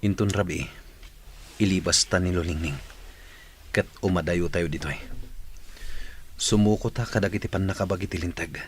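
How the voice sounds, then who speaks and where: A man's voice: low-pitched, normal speed, long pauses, slight background noise, lightly acted. A man playing a regretful bandit in a radio drama, speaking in an earnest, urgent murmur about secret plans. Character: regretful male bandit plotting a secret escape. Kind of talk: Ilocano radio drama acting.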